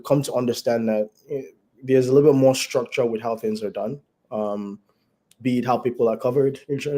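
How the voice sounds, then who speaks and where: A man, a guest doctor, hoarse and raspy from the flu, explaining at length over a video call and correcting himself as he goes. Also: medium pitch, dark tone. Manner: long winding explanations with self-corrections. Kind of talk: explanatory interview answer.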